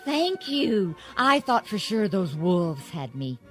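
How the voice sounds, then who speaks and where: A woman's voice: medium pitch, big pitch swings, slow pace, fairly bright tone. A woman playing a young, wounded princess, frightened but wry, in a twisted fairy-tale radio play. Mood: relieved, grateful. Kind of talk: audio drama acting. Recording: music under the voice.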